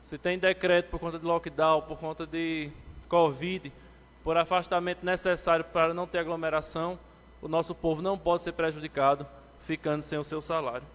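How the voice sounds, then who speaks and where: A man in his thirties, from Brazil, delivers a formal floor speech to a city council, addressing the chair. His voice sits a bit high and climbs further when he turns critical. The lectern mic picks up the long ringing reverb of the council chamber. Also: a bit bright. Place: council chamber, indoors, medium-sized. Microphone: lectern microphone, standing.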